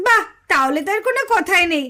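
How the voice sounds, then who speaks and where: A woman's voice: very high-pitched, lively intonation, fast, sharp tone, full character voice. A woman voicing a cheerful, bossy but kind auntie doll who instructs children in a puppet story. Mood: bright, encouraging. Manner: exclaiming, instructing, chatty.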